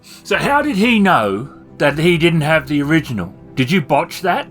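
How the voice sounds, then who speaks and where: Male game storyteller, dry and matter-of-fact, animated pitch, casual role-play over soft music. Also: normal speed. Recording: music bed behind the voice. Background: background music.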